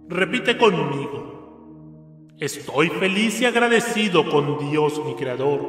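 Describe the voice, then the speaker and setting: Slow, solemn man with hall echo. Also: high voice. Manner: solemn, measured, declaiming. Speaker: prayer leader. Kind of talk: slow recited prayer and affirmations. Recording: reverberant hall with soft music.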